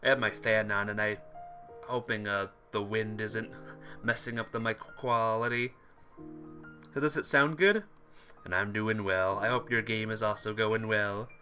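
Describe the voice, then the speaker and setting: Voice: mid-range voice, steady tone, measured pace, bright, forceful tone, soft background music. A streamer reading a visual novel aloud expressively, with casual asides to viewers. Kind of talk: game playthrough narration with chat asides.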